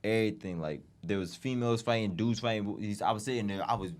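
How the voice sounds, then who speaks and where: A man's voice: lowish voice, fast. An American man in his twenties chatting casually on a podcast, slangy and profane, complaining as he tells stories. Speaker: podcast guest, music artist.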